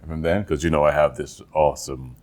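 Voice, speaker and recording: A man's voice: extremely low pitch, brisk. A man co-hosting a podcast in casual, comedic banter, animatedly acting out bits with a velvety voice. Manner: animated, acts out quotes and sound effects.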